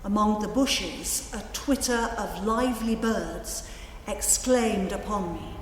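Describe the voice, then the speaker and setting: Female narrator, extremely unhurried, deliberate literary delivery, reverberant hall acoustic. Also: mid-range voice, a little hiss or hum. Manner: measured, deliberate phrasing. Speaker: narrator reciting literary prose in a cantata. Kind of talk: slow dramatic literary recitation. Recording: reverberant hall, music behind.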